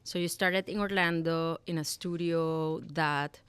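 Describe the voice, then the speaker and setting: Smooth, slow-spoken woman hosting. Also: sits low, fairly even intonation, fairly bright tone, no echo. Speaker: podcast host. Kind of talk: live podcast interview. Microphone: live event microphone.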